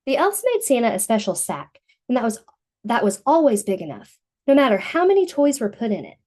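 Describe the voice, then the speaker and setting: A woman reading a picture book aloud to young children in an expressive storytelling manner. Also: fairly high pitch, a little fast.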